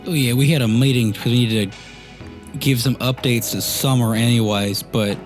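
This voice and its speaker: A man's voice: medium pitch, steady tone, moderate pace. Casual male roleplayer with music behind. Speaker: tabletop roleplay player. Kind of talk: casual roleplay and game talk. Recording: music under the voice. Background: background music.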